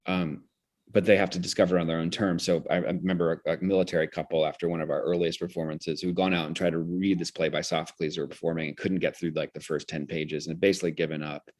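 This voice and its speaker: A man with a low-pitched voice talks as an interview guest. He is articulate and intellectual, thinking ideas through aloud and sometimes restarting a phrase as he searches for wording. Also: little pitch movement, fast talker, darkish tone. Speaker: interview guest, theater director. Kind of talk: reflective conversational explanation.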